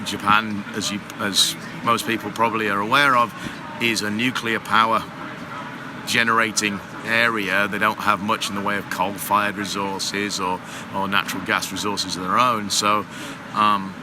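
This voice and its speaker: Low-voiced man chatting on a noisy expo floor. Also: little pitch movement, normal speed. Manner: explanatory, rambling with fillers. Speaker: guest, water heater company manager. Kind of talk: casual interview on a trade show floor. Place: busy trade show floor.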